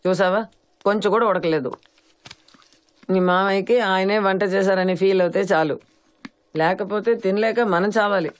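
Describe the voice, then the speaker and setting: A woman's voice: mid-range voice, steady tone, moderate pace, long pauses, natural voice. An actress playing a wry, long-suffering middle-aged mother-in-law in a film drama, speaking in a dry, confiding way. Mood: wry, conspiratorial. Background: background music.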